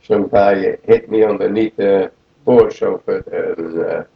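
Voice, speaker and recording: A man, recalling the past in a rambling, halting way full of fillers in an oral history interview. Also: low voice, steady tone, gravelly. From Netherlands. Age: 80s. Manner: rambling, halting, many fillers like "you see". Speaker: interviewee, war veteran. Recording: roomy lo-fi 1980 tape.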